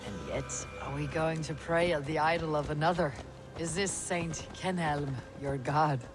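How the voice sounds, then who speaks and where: An actress playing a steady, proud female Viking chieftain in a video game, measured, wry and courteous. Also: low voice, music in the background. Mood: questioning, calm. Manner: measured, wry, self-assured. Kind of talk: video game cutscene acting.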